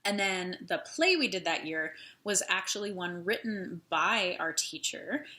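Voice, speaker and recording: A woman's voice: fairly low pitch, sharp tone. A woman telling stories casually to camera like a teacher hosting story time, chatty and informal, with side remarks. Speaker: theatre teacher and host of a story time series.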